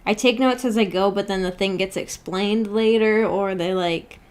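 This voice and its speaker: A woman with a mid-range voice talks casually as the host of a livestream book club. She rambles in an unhurried, giggly way, responding to comments as they come in. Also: medium pitch, smooth. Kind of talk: casual livestream chat, replying to viewer comments.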